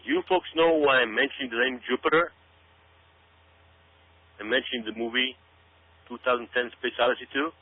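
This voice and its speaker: A man's voice: mid-range voice, steady tone, moderate pace, pauses often, husky, dry recording. A man giving dramatic commentary as a radio show guest, speaking emphatically, repeating himself and asking rhetorical questions. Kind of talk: dramatic speculative commentary to listeners. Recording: muffled narrow-band radio sound.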